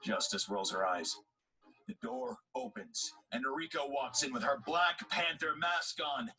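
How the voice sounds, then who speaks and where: Narrator for audio drama: man, higher-pitched, strikingly bright tone, animated delivery. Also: a little slow, vocal fry, poor audio.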